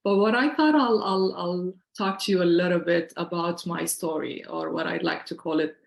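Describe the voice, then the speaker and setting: Middle-aged woman, Syrian accent, earnest workshop presenter on a video call; lowish voice with some fry. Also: normal speed, echoey room. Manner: earnest, explanatory, conversational. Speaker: financial wellness workshop presenter.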